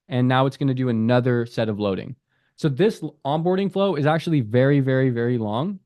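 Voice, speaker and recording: A man in a relaxed voice-over talks through an app step by step, reading each question aloud before giving his take. His voice sits at an ordinary middle pitch. The sound is close and dry, with no room around it. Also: no room sound. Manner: conversational, reads app prompts aloud and comments. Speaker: app developer reviewing an app. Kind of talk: casual screen-recorded app walkthrough.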